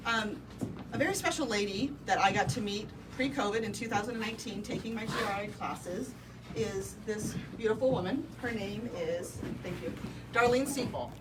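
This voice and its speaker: A woman's voice: medium pitch, normal speed. Distant Midwestern woman speaking to a crowd. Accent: Midwestern American. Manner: warm, personal storytelling with frequent ums. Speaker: real estate brokerage owner. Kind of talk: ribbon-cutting speech. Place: indoor office event space with a crowd. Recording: distant mic, noisy event room.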